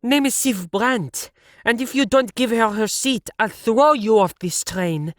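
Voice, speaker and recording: A man voicing a furious, combative young woman who scolds and threatens in a crude, shouting way. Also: high voice, a little slow, no echo, studio quality, pitched above the performer's own voice. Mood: threatening.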